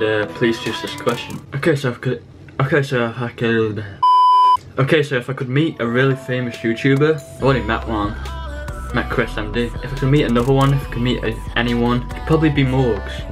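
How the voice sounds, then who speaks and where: Teenage English boy vlogging over music. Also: mid-range voice. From England. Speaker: vlogger, channel host. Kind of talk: casual to-camera Q and A vlog. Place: small bedroom, indoors.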